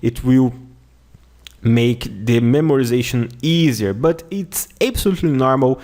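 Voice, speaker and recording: A man with a Brazilian accent and a mid-pitched voice teaches an online lesson at an unhurried, deliberate pace. He repeats each word and phrase and keeps checking in with "okay?". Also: darkish tone. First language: Portuguese. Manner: slow, repeats words and phrases often, says "okay?" a lot. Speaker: language teacher.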